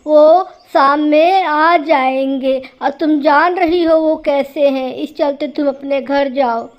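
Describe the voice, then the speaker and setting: A weary older mother crow played by a woman in a cartoon story. She talks slowly in a high voice, firm and fussing. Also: muffled recording.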